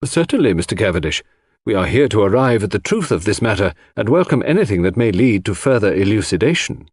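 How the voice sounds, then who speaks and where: Brisk formal coroner, acted by a male narrator. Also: on the low side, very animated, a little mellow, husky, no room sound, studio quality. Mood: brisk, courteous. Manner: brisk, deliberate, official. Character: brisk, formal, courteous male coroner presiding over inquest.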